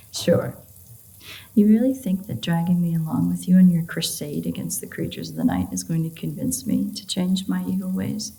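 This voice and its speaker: A woman acts out a playful vampire woman with a lowish, dark, relaxed voice. She is sardonic and flirty, with plenty of vocal fry. The delivery is intimate audio roleplay, free-spirited and foul-mouthed. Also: normal speed, low-quality recording. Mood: sarcastic, teasing. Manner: teasing, sarcastic, flirtatious, swearing.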